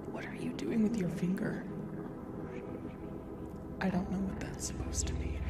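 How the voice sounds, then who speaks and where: A woman's voice: a little high, close to an everyday voice. A woman playing a teasing, flirtatious sleep paralysis demon in a whispered ASMR roleplay. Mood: coy, probing. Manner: slow, teasing, drawn-out whispering.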